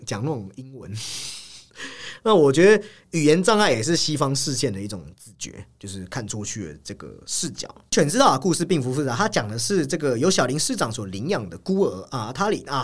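A man hosting a film discussion, explaining and analyzing in an explanatory way, often repeating words and phrases. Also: medium pitch. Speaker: film podcast host.

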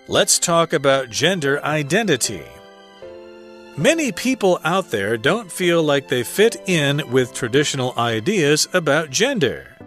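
A man's voice: mid-range voice, fairly bright tone. A man reading a scripted article aloud as narration for language learners. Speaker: article reader. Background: background music.

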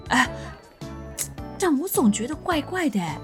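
A feisty young schoolgirl from a radio play, voiced by a woman. The voice is high and girlish, quick to exclaim and react, with faint music around it. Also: very animated. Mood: curious, uneasy. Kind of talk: radio drama acting.

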